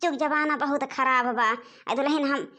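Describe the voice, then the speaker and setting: A woman's voice: high-pitched, fairly even intonation, measured pace, pushed and bright. A woman voicing a worried, scolding village mother-in-law in a cartoon comedy, with an Awadhi accent and a lamenting, nagging manner. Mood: fretful. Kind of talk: animated comedy dialogue.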